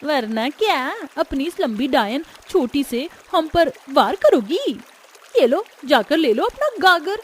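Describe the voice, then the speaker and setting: A woman's voice: sits high, sing-song, slow pace, a little hiss or hum, pitched above the performer's own voice, exaggerated voice. A woman voicing a jealous, spiteful young village schemer in a taunting, needling manner for a family story. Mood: mocking. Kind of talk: Hindi family moral-story acting.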